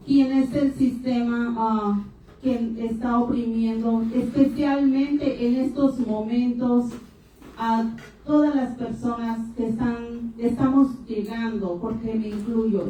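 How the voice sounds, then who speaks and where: A woman speaking at a panel event, talking in short bursts with hesitations and pausing for her interpreter. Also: a little high, fairly even intonation, warm tone. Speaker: panel speaker for an immigrant rights group. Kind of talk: public talk in Spanish, paused for interpretation. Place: university hall event. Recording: echoey hall, distant mic, faint noise.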